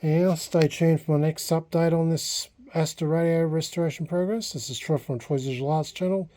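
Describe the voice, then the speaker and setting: An Australian man hosting a casual hobby vlog at an indoor workbench, talking in a halting, rambling way and correcting himself as he goes. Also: fairly high pitch, fairly even intonation, mellow. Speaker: hobbyist video host.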